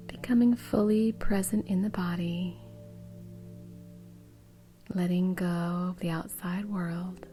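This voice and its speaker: A woman guiding a meditation in a soft, breathy, soothing way. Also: fairly low pitch, steady tone, slow, pauses often, soft background music. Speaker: meditation guide. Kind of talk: guided meditation.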